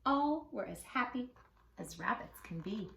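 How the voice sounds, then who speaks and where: Very animated high-voiced woman reading to kids. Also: a little slow, creaky, reverberant, slight background noise. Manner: expressive, affectionate, addresses child listeners directly. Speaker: host reading children's storybooks aloud. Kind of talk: animated children's read-aloud.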